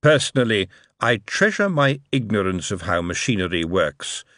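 Man doing an aloof ruler, measured and deliberate, dry close voice, varied pitch. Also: very animated, a bit bright, no room sound. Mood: cool. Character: cool, measured male city ruler, aloof.

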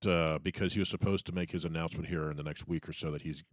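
A man's voice: a bass voice, fairly even intonation, fast talker, no echo. A man co-hosting a podcast who lays out theories and brings up new topics in casual chat.

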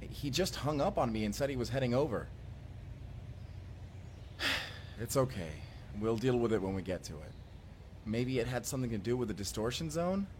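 A man playing an excitable, friendly companion in a science fiction audio roleplay, speaking casually and expressively. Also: medium pitch, normal speed, pauses often, slight background noise, natural voice. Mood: puzzled, uneasy. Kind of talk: immersive first-person audio roleplay acting.

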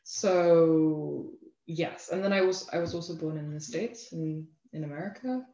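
A woman's voice: low-pitched, warm tone. Thoughtful young Englishwoman in a roomy space. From England. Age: young adult. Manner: thoughtful, halting, frequent "you know" and "like". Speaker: interview guest, self-love advocate. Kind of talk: reflective conversational interview. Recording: roomy echo, slightly dull lo-fi sound.

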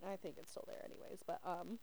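A woman's voice: lowish voice, flat delivery, fast, vocal fry, darkish tone. A young woman from the southern United States chatting casually as a podcast co-host. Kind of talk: casual chat. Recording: noisy amateur podcast recording.

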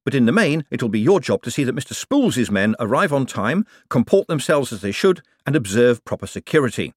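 A smooth male rogue turned banker voiced by a male narrator in a comic audiobook. He is brisk, polite and persuasive, with lively, swooping intonation in a dry recording. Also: medium pitch, dry recording, pitched above the performer's own voice. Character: quick-witted male swindler turned bank official, smoothly polite.

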